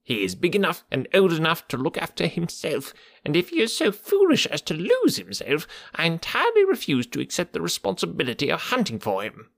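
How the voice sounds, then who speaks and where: A man voicing a querulous, twitchy, stingy little old man with a sharp crackling voice in an audiobook. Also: huge pitch swings, brisk, creaky, hardly any pauses, no room sound, studio quality, heavily acted. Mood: shrill scolding. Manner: shrill, scolding, demanding. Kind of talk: audiobook character voice.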